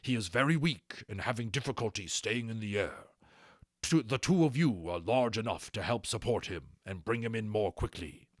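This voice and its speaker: A man's voice: fairly low pitch, lively intonation, moderate pace, no echo, studio quality. A man voicing an authoritative dragon training master in an audiobook, giving urgent, clipped military orders. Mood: urgent, grave. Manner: urgent, commanding, no preliminaries.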